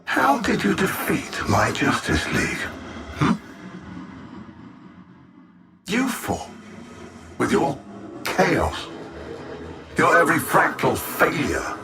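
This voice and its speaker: An actor playing an arrogant, cold alien supervillain in a video game, haughty and theatrical, savoring every word. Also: male voice, fairly high pitch, huge pitch swings, takes it slowly, vocal fry, long pauses, loud music behind the voice, full character voice. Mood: fascinated, probing.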